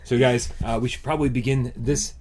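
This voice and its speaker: A man hosting a casual gaming podcast, stumbling over words and filling pauses with "uh". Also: mid-range voice, fairly even intonation, a loud crowd. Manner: stumbling, repeats words, many fillers. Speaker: podcast host.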